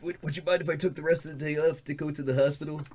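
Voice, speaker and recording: A woman's voice: deep voice, steady tone, very fast, background noise, close to an everyday voice. A woman playing a timid, polite gangster's underling in a comic radio drama, hesitant and deferential. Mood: meek, anxious.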